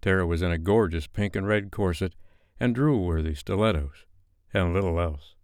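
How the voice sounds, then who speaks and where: A man reading a romance novel as an audiobook narrator, with measured, deliberate phrasing. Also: low-pitched, a little mellow, clean tone, dry recording, studio quality.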